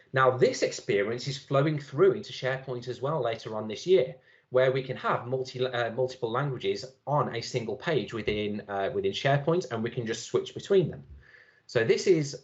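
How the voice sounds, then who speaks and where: A man walks through a software demo in a relaxed, chatty way, pausing on ums and restarting sentences. His voice sits in a middle range, and the online session audio sounds a bit muffled. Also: mid-range voice. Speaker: conference speaker giving a software demo. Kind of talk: live screen-share demo talk.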